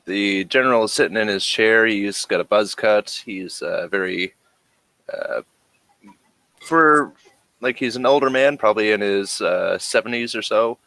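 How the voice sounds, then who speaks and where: A man improvising narration as game master of a tabletop game, halting as he searches for words. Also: on the low side, bright, forceful tone, no echo.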